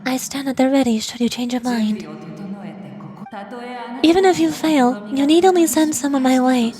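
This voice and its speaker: A woman's voice: sits high, moderate pace, warm tone, faint music behind, close to an everyday voice. An actress playing a noble, resolute female leader in a video game, speaking in an earnest, stately and formal way. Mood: earnest, solemn.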